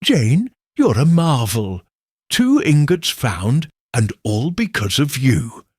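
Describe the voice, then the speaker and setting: A man's voice: medium pitch, sing-song, takes it slowly, slightly rough, dry recording, studio quality, full character voice. A man doing a gushing, chatty village lady. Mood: excited.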